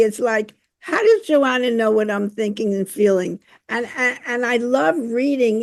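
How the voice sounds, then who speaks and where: An older woman, a dancer, speaking as a guest on a podcast in a warm, effusive, unhurried way. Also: a little high, vocal fry, no room sound. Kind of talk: conversational interview.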